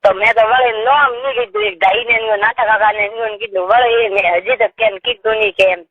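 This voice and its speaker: A woman's voice: fairly high pitch, fairly even intonation, brisk. A woman with a Marwari accent talking casually on a private phone call, airing complaints as she recounts things. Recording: tinny, narrow phone-call recording.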